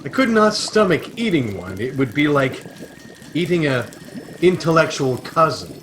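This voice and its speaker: A man acting as a self-important, bookish space adventurer, deliberate and pedantic, in a sci-fi satire audio drama. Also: a little high, sing-song, a bit bright. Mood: pedantic, earnest. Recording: noisy home video-call audio.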